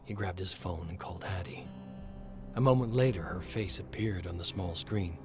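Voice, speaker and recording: A man's voice: low-pitched, moderate pace. A man reading scripted, dramatic horror narration. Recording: music under the voice. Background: music.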